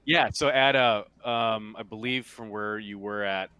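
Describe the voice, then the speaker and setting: A man acting as game master, explaining the game casually and haltingly in an informal voice chat. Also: on the low side, pushed and bright, clean tone, muffled recording. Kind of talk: casual tabletop roleplaying narration and chat.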